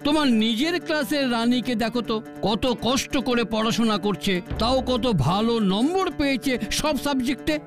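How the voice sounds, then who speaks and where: A man's voice: very high-pitched, moderate pace. A man voicing a stern but fair school headmaster in a children's cartoon, speaking in an admonishing, lecturing manner. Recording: children's music behind the voice.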